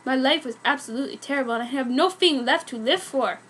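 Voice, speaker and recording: High-voiced woman reading fan fiction dramatically. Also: fast, a bit bright, slight background noise. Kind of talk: dramatic comic narration.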